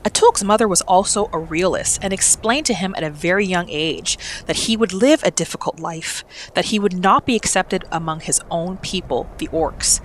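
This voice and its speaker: A woman's voice: lowish voice, a little hiss or hum. A woman narrating a character's backstory for a video game roleplay in a scripted storytelling style.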